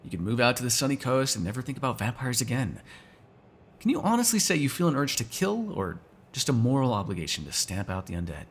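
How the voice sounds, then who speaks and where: A man's voice: medium pitch, wildly swooping pitch, fast, husky, heavily acted. A man playing a sarcastic, teasing vampire who kills without regret, in casual, profane roleplay banter. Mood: wry, coaxing. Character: snarky male vampire, restless unrepentant killer, teasing. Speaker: voice actor in an audio roleplay. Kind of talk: ASMR roleplay, casual one-sided dialogue.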